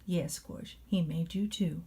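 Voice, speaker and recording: A woman reading a children's storybook aloud as a nanny, in a measured, expressive storytelling manner. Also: fairly low pitch, breathy edge. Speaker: storybook reader playing a nanny.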